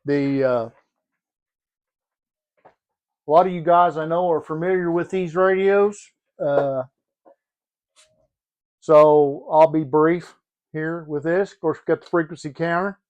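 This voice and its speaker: A man presenting an informal product demonstration in a halting, matter-of-fact way. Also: a little high, steady tone, a little mellow, clean tone, muffled recording. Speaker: presenter showing a used CB radio.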